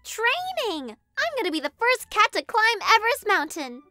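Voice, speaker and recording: Casting: tiny cartoon girl kitten, very high, bright, childlike, naive and eager. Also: moderate pace, no room sound, soft background music, full character voice. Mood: eager, cheerful. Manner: childlike, earnest, excitable, wide-eyed. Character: naive, eager, cheerful little female kitten. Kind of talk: animated comic dub acting.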